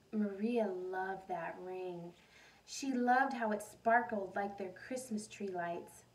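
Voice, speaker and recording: A woman reading a picture book aloud like a teacher, animated, pausing to put questions and asides to young listeners. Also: medium pitch. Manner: animated storytelling, addresses young listeners directly. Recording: voice distant from the microphone.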